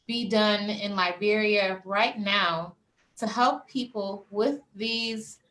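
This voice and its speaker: A woman hosting a discussion panel, speaking in a measured way with hesitant fillers. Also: mid-range voice, a bit bright, poor audio. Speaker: host.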